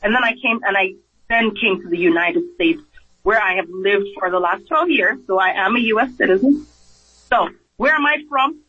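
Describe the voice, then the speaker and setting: High-voiced Nigerian-accented man on radio. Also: moderate pace. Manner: earnest, repeats words when starting a thought, acts out quoted voices. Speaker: physician guest. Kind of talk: radio interview. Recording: dull lo-fi radio broadcast.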